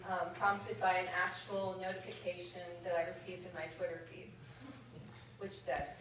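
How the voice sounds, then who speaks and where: A woman poet reading her own comic verse aloud at a conference, with measured comic timing. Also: on the low side, level tone, fairly bright tone, slight background noise. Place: indoor conference reading room. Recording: very echoey room from far off. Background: audience laughter.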